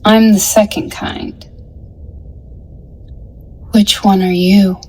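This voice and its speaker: A woman's voice: medium pitch, flat delivery, drawn-out words, darkish tone, breathy edge, heavily acted. A young woman acting in an audio drama as a cool, scheming, slangy teenage girl in a 1950s gang, offhand and sardonic. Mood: coolly persuasive.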